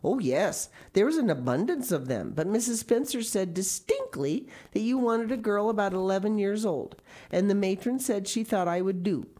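A woman's voice: mid-range voice, lively intonation, normal speed, dark tone, pitched above the performer's own voice, natural voice. A woman voicing a dramatic, imaginative orphan girl of about eleven who speaks in gushing, theatrical outbursts. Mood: eager, wistful. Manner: gushing, theatrical, tearful pleading.

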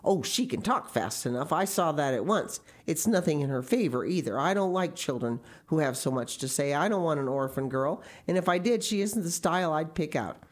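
A woman voicing a stern, sharp-tongued older woman from a storybook, scolding in a brisk, curt, emphatic way. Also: low-pitched, expressive. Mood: curt, firm. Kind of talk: storybook character reading.

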